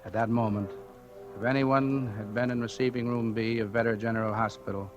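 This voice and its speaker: An actor playing a methodical, portentous narrator reciting an accident report in a 1940s radio drama. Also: male voice, mid-range voice, fairly even intonation, fairly quick, over music, close to an everyday voice. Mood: grave. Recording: dull old radio broadcast recording.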